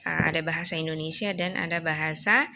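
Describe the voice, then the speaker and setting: A woman reading a storybook aloud to her young child at night, gently asking the child questions along the way. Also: low voice, steady tone, background noise. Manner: slow, gentle, coaxing, asks the child questions.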